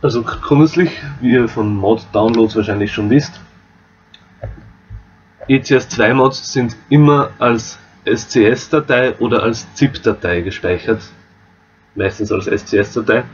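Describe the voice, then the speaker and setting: A man with an Austrian accent walking viewers through a game map editor, relaxed and explaining step by step. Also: mid-range voice, a little slow, highs cut off, a little hiss or hum. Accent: Austrian German. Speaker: modding tutorial host. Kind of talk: screen-recorded software tutorial.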